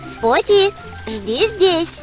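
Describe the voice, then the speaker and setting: A woman's voice: very high voice, very animated, drawn-out words, faint music behind, exaggerated voice. A woman voicing a cheerful, caring little girl heroine in an educational children's cartoon. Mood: friendly, upbeat.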